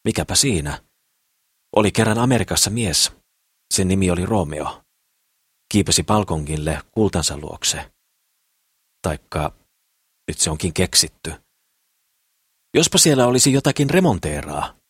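Man playing a husky, boastful lovestruck builder. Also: low voice, lively intonation, pauses often, no echo, studio quality. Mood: puzzled, scheming. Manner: boastful, rambling, slangy. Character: eager, boastful, simple lovestruck builder man courting a girl. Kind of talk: audiobook character voice.

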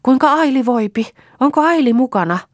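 A reader voicing a warm, emotional peasant woman who fusses over a rescued child. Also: medium pitch, completely flat delivery, no echo, studio quality, natural voice. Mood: shouting eager. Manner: effusive, fussing, calling out.

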